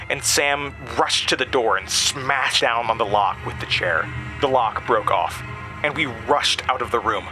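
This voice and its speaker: A man's voice: medium pitch, rough recording. A man narrating a horror audio drama in the first person, with a grave, suspenseful delivery. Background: music.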